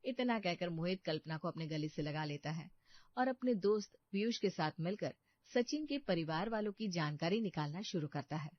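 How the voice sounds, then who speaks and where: A woman's voice: lowish voice, lively intonation, normal speed, dry recording. A woman reading scripted narration for a cartoon story. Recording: muffled dry close recording.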